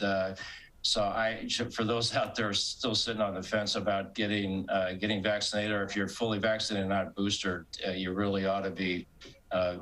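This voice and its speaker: A man with a fairly deep voice speaks as a medical panelist. He makes his points off the cuff and emphatically, pausing to find words. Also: fairly bright tone, low-quality recording. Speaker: panelist, chief medical officer. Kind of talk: unscripted panel remarks.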